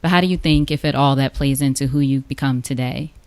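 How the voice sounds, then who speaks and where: A woman interviewer with a very low, smooth and resonant voice, asking open questions and affirming her guest often. The sound is dry and close, with no room echo. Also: fairly quick. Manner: warm affirming, frequent mm-hmms. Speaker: podcast host.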